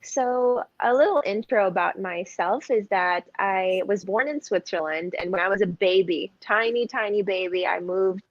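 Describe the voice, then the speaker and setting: A woman's voice: mid-range voice, normal speed, hardly any pauses, no echo. Young woman from cebu, chatty and honest, laughs a lot, dry dull audio. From Philippines (Cebu). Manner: candid, laughs often, light fillers. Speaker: pageant candidate, guest. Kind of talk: casual interview answers.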